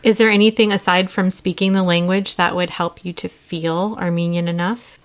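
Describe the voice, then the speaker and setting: A middle-aged woman hosting a podcast, talking candidly and gently with her daughter, apologetic and coaxing. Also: on the low side, fairly even intonation, moderate pace, smooth. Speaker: podcast host and mother.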